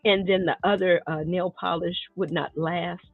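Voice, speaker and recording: A middle-aged woman with a drawl and a nasal, stuffy voice talks loosely to her live-stream viewers, calling them "y'all" and laughing between remarks. Her voice has a breathy, husky edge. It comes through a thin, phone-like stream that sounds dry and close. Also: lowish voice, fairly even intonation, moderate pace, dry recording, soft background music. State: congested. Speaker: art teacher live-streaming a painting lesson. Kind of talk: casual live-stream chat while setting up. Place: home art studio. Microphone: desk microphone near the table.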